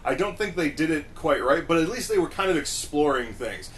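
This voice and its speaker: Higher-voiced man explaining on a podcast. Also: expressive, a little fast, fairly bright tone. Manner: explanatory, storytelling, acts out short quotes. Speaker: podcast co-host. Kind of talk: casual movie discussion. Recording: faint background noise.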